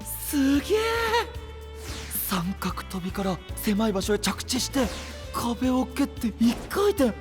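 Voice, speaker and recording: A man's voice: extremely high pitch, animated, slow, music in the background, exaggerated voice. A man voicing a cheerful, adventurous young male knight with an enthusiastic, easygoing boyish manner in an anime-style voice drama. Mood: concerned, impressed.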